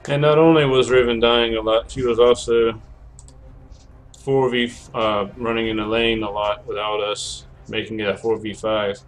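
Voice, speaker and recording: A man's voice: lowish voice, flat delivery, moderate pace, poor audio. A man streaming a video game, chatting casually and rambling with many self-corrections, his voice cracking from a cold. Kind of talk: casual gameplay commentary.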